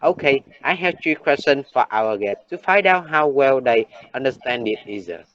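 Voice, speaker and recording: A teenage boy with a Vietnamese accent hosts a school talk show at a middle pitch. He reads his prepared lines in a steady presenter manner. The sound is a little dull and lo-fi. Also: moderate pace. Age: late teens. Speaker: host of a class talk show. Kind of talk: scripted classroom talk-show hosting.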